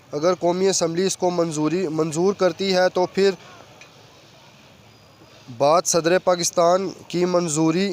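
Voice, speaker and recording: A man delivering a political news commentary monologue, sometimes halting and stumbling over his words. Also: high voice, darkish tone, slight background noise. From Pakistan.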